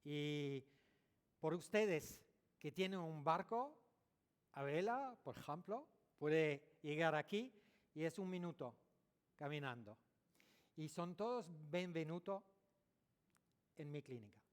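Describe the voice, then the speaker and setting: Man, non-native speaker, conference lecture, stops to correct himself, slightly high voice, echoey hall. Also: expressive, smooth. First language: French or Italian, not Spanish. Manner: halting non-native Spanish, searching for words and self-correcting. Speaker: dentist giving a conference talk.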